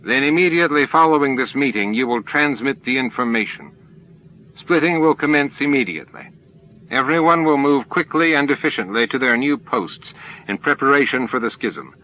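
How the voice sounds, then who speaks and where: A man's voice: medium pitch, expressive, normal speed, pitched above the performer's own voice, natural voice. Man playing a self-assured alien hive ruler, audiobook drama, authoritative, formal, raised voice, dull recording. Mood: commanding, formal.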